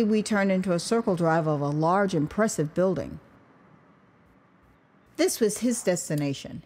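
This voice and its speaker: A woman's voice: medium pitch, darkish tone, slight background noise. An American woman narrating her own memoir as an audio drama, in a wry, conversational storytelling manner. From United States. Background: street ambience and vehicle sound effects.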